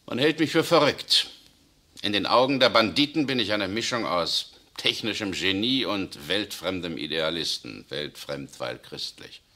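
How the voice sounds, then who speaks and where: A man acting in a 1960s radio play as a tense German SS officer who secretly resists, speaking urgently, swinging between outbursts and hushed confession. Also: sits low, animated, measured pace, heavily acted. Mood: confiding. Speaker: radio drama actor.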